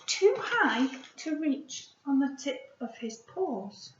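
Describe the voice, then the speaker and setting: A woman, a primary school teacher, reading a storybook aloud to children in an expressive way, with hushed asides to her listeners. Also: sits high, slow, a little hiss or hum. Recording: roomy echo, slightly dull sound.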